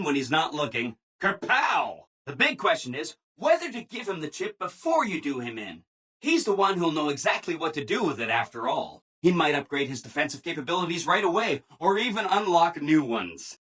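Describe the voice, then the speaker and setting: A man's voice: fairly high pitch, bright, forceful tone, breathy edge, full character voice. A man playing a relentlessly cheerful, servile robot assistant in a video game, chirpy and over-agreeable, with exaggerated enthusiasm. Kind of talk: scripted video game dialogue.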